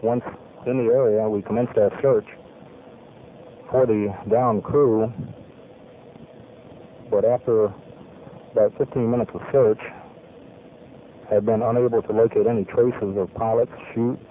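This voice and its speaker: A man, a navy pilot, giving a formal spoken debrief of a mission in measured, clipped military phrasing. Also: fairly low pitch, flat delivery, a little hiss or hum. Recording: tinny lo-fi archival radio recording.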